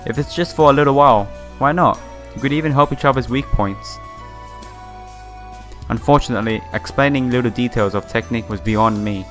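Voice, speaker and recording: A man reading a visual novel aloud and commenting casually as a let's player, halting and informal. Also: medium pitch, a bit bright. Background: game music.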